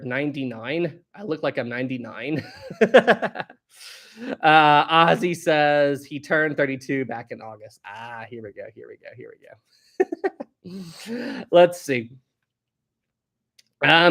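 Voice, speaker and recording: A man's voice: on the high side, fairly bright tone. A man in his thirties hosting a casual live stream, chatting and reading viewer comments aloud, laughing often. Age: 30s. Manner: chatty, laughs often, reads chat aloud with playful bursts.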